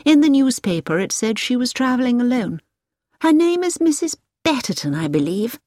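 A woman's voice: on the high side, big pitch swings, normal speed, darkish tone, no echo, clean studio sound, voice pushed up. A woman voicing a prim, disappointed middle-aged Englishwoman with an English accent, speaking in a raised voice in an audiobook.

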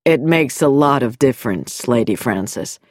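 A woman reading an audiobook voices a smooth, calm, quietly mocking middle-aged male doctor in a lowered voice. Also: measured pace, no echo, studio quality, heavily acted.

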